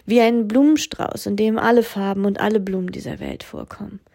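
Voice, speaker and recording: A woman hosting a casual, reflective podcast, hoarse from a cold, recorded on her phone. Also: medium pitch, fairly even intonation, a little fast, warm tone. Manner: thoughtful, at times breathy and whispery. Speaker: podcast host and coach.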